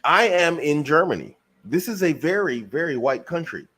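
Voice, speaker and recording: A man's voice: on the high side, very animated, moderate pace, fairly bright tone, breathy edge. American guy on a podcast, pushy motivational talk, lots of "guess what" questions. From United States. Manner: emphatic, rhetorical questions. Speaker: podcast guest. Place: lives in Germany.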